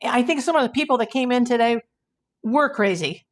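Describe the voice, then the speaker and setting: A woman hosting a casual livestream, hoarse and losing her voice, rambling in exasperation while she reads viewers' comments. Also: a little high, expressive, moderate pace. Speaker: YouTube livestream host.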